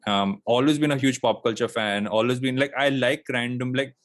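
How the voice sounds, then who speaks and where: A man's voice: medium pitch, fairly even intonation, moderate pace, dry recording. A man in his late thirties from India talks as a podcast guest, thinking aloud and circling back with plenty of fillers. His voice sits in an ordinary middle register. The recording is dry and close, with almost no room sound. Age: late 30s. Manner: reflective, rambling with many fillers and restarts. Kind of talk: casual interview chat.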